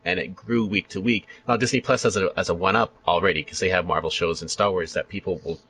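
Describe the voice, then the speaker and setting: A man chatting casually as a podcast interviewer, talking in an animated, rambling, enthusiastic way. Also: low-pitched, quick pace, slight background noise, low-quality recording. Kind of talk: casual fan chat about entertainment.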